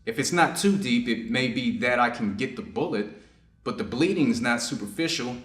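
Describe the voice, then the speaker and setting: The room rings around a mid-pitched male voice. It is a man acting a stern, conflicted Japanese doctor in a readers' theater drama, giving orders over a wounded enemy. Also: animated, normal speed, a bit bright, lightly acted. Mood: stern, tense. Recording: echoey room.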